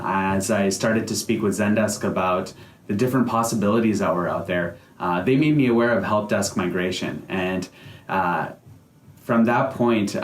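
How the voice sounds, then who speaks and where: A man's voice: lowish voice, slight background noise. A man from the United States, a company founder giving a customer testimonial, speaking earnestly with many "uh" and "um" fillers. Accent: American. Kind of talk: testimonial to camera, semi-prepared speech. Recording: echoey room.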